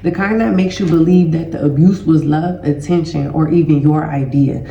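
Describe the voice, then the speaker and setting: A woman's voice: deep voice, normal speed, vocal fry, mellow, highs cut off, slight background noise. A woman with a stuffy nose and sore throat, talking casually to viewers as a healing educator and host, loose and conversational. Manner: conversational, loose, addresses listeners as y'all. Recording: roomy echo.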